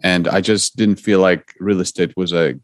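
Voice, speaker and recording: A middle-aged man from Poland, speaking, gives a guest interview. His voice sits very low and he explains things earnestly, often restarting a phrase. The sound is dry and close. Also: fairly even intonation, normal speed, no echo. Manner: earnest, explanatory, some repeated starts. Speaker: guest investor. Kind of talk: interview answers.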